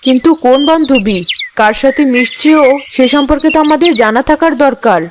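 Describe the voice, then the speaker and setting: A woman's voice: high voice, a little fast, noisy background, natural voice. A woman playing a suspicious, bossy aunt. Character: strict, watchful aunt. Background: background music.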